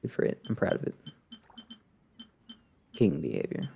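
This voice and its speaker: A man's voice: very deep voice, wildly swooping pitch, normal speed, creaky, mellow, breathy edge. A man giving casual live commentary as a game streamer, rambling and muttering to himself with playful sarcasm.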